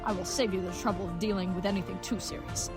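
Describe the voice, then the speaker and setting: A woman's voice: medium pitch, steady tone, fast talker, over music, lightly acted. A woman playing an earnest, good-hearted but dim-witted knightly leathersmith in a comedic audio drama, speaking in florid, mock-archaic phrases. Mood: proud, gallant.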